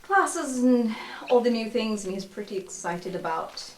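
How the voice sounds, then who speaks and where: A woman voicing a dry, unimpressed student in an understated, hesitant, deadpan way. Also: a little high, unhurried, slight background noise. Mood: hesitant, wry. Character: dry, awkward, unimpressed student named Mistake. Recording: roomy sound, music underneath.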